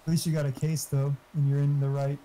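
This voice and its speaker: A man's voice: fairly high pitch, little pitch movement, quick pace, warm tone, a little hiss or hum, low-quality recording. A man chatting casually and giving tech advice, swearing freely as he goes. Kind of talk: casual chat.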